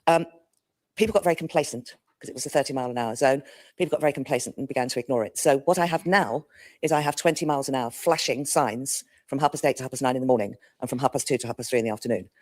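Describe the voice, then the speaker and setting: A man, a local councillor, speaking earnestly in a council meeting with frequent ums. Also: medium pitch. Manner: earnest, many ums, brisk. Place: council chamber.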